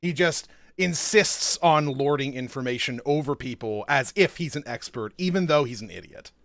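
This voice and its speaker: A man's voice: lively intonation, normal speed, vocal fry, fairly bright tone, no room sound. A man doing casual, blunt, sweary reaction commentary as a streamer. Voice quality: naturally fairly deep voice.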